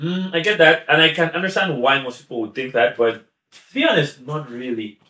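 A man with a fairly high voice talks as a podcast guest in a loose, chatty way. He laughs often and drifts into asides before getting back on track. The room around him is echoey. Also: expressive, fairly quick, pushed and bright. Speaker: guest YouTuber. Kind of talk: casual podcast conversation.

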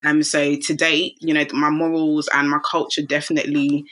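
Woman in her 20s from Ghana, interview guest, earnest, filler-heavy, crisp bright tone. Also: sits low, flat delivery, moderate pace. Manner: warm, earnest, many fillers. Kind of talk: casual interview chat.

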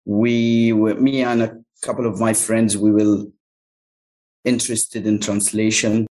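A man from Egypt, Arabic his first language, talking in a fairly low voice. He answers in a thoughtful, halting way, full of pauses and "uh"s, and sounds as if he is on a remote call mic. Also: level tone, darkish tone, rough recording. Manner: thoughtful, hesitant, many fillers. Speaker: localization agency owner, guest. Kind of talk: interview answers.

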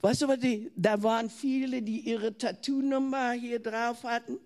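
A woman's voice: on the high side, steady tone, moderate pace, a little mellow. An older German woman preaching a sermon in an earnest way, often asking her listeners questions and breaking off to restart words.

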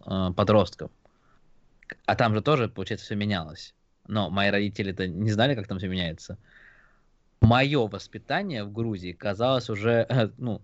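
A man's voice: lowish voice, no room sound. A man from a Georgian family raised in Russia, chatting casually and thoughtfully, with hesitant fillers. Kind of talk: casual podcast chat. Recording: dull lo-fi sound.

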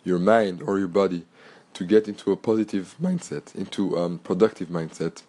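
Deep-voiced French-accented man, middle-aged, hoarse from a cold, earnest solo podcast host. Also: expressive, moderate pace, mellow. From Belgium. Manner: earnest, repetitive and motivational, with restarts.

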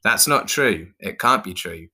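A snappy female clown acted by a male narrator, always on the defensive. Her retorts are indignant and argumentative, sharp in tone and often pushed up from the reader's low voice. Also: expressive, measured pace, exaggerated voice.

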